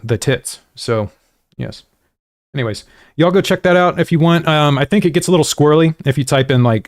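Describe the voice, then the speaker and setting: A man's voice: medium pitch, no room sound, studio quality. An American man hosting a gaming livestream, chatting casually to viewers in a rambling, slangy, self-deprecating way. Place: indoors at a desk.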